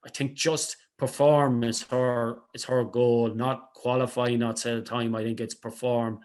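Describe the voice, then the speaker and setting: A man giving measured sports analysis as a studio guest, leaning into emphatic points. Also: medium pitch, flat delivery, a little mellow. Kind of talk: studio discussion.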